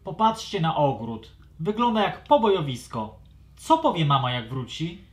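Male actor as a plaintive young dragon, lively pitch. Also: normal speed. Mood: worried. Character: young male dragon, mischievous, whiny and defensive. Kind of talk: children's audio fairy tale.

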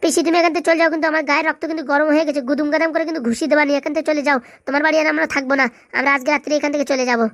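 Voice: sits high, flat delivery, rapid-fire, few pauses, fairly bright tone, no echo, clean studio sound. A performer voicing bossy, hot-tempered cartoon villagers who scold and quarrel in colloquial speech. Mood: defiant. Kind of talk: comic cartoon dialogue.

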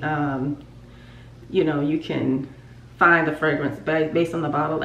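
A woman's voice: sits low, recorded from a distance, slight background noise. A woman in her thirties with a stuffy nose, chatting casually and rambling to her viewers from her bathroom while doing her routine. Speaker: vlogger. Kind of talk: casual chat to camera. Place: home bathroom. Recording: roomy bathroom echo, voice slightly off-mic.

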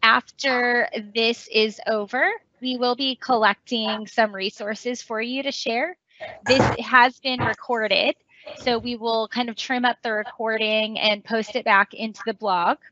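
A woman with a bright, somewhat high voice hosts an online event. Her sound is close and dry, with slightly dulled call audio. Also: steady tone, a little slow, no room sound, lo-fi sound. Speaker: event host. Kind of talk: webinar closing remarks.

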